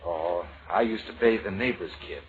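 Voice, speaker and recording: A man's voice: low voice, normal speed, close to an everyday voice. A man playing a friendly, earnest bachelor who is fond of babies in an old radio comedy, easygoing and boyishly cheerful. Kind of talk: 1940s radio comedy acting. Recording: dull, noisy, crackly old radio recording.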